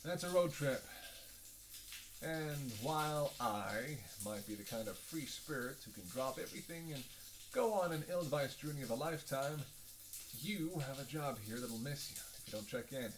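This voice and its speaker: A man's voice: medium pitch, expressive, normal speed, noisy background, lightly acted. A man playing a sardonic, playful vampire who banters sarcastically with his hunter in an audio roleplay. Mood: incredulous, playful. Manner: sarcastic, playful, drawling asides with sighs and scoffs. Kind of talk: audio roleplay acting, one-sided dialogue.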